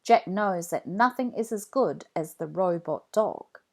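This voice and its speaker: A woman storyteller reads aloud for children. Her delivery is slow and deliberate, with a very animated melody that shapes each sentence of the read-along. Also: medium pitch.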